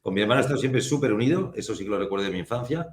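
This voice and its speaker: A man's voice: medium pitch, expressive, roomy sound. A man from Spain, a film producer, talking reflectively and unhurriedly as a guest in a casual interview. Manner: thoughtful, reflective, unhurried with frequent pauses.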